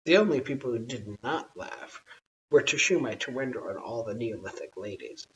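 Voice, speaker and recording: An adult reader narrating a bedtime story in an expressive, measured storytelling manner. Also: mid-range voice, far from the mic, highs cut off.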